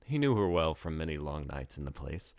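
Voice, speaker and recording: A man's voice: very deep voice, big pitch swings, a little fast, darkish tone, dry recording, clean studio sound. A man reading a story aloud as its author, in scripted narration.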